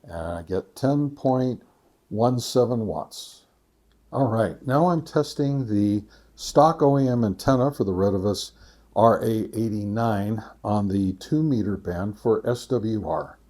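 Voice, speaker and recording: A man hosting a casual product test, talking in a methodical, halting way and reading out figures deliberately. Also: on the low side, mellow. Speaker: video host, radio reviewer.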